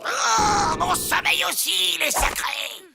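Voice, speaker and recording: An actor playing an irritable, scheming, short-tempered male convict in an animated cartoon, prone to exasperated outbursts. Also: extremely high pitch, slow pace, vocal fry, shouting, heavily acted. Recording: music behind the voice.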